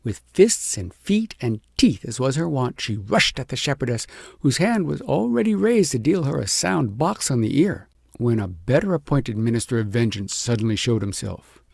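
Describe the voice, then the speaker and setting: Man, audiobook narrator, expressive story reading, lively swooping intonation, heavy vocal fry, close dry sound. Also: medium pitch, moderate pace, no room sound.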